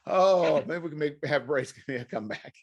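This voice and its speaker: A man hosting a podcast in casual conversation, talking haltingly and often restarting his phrases. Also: a little high, husky, dull audio. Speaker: podcast host.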